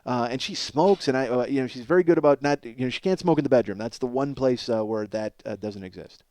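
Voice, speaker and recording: A man's voice: mid-range voice, fast, dark tone, no echo. A man hosting a comedy podcast in a rambling, self-mocking monologue, ranting with profane exasperation.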